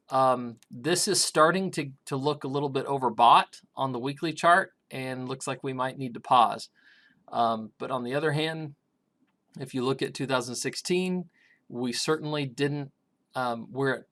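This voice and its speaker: A middle-aged man with a raspy voice, a grain market consultant giving casual commentary over charts, pausing often with ums and restarts. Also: medium pitch, fairly bright tone. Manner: hesitant, many ums and restarts.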